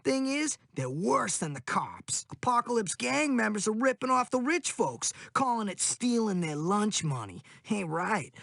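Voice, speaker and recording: A wry street informant from a video game. The voice has a marked creak and is recorded very dry. The talk is casual and conspiratorial, like gossip passed on in secret. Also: medium pitch, sing-song, measured pace, few pauses, a bit bright, no echo, heavily acted. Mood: wry, secretive.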